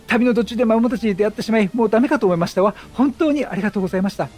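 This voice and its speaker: A man's voice: high-pitched, very fast, darkish tone. A man voicing a polite, grateful mother from a video game, with feminine phrasing. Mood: grateful relief. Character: polite, grateful mother traveling with her child.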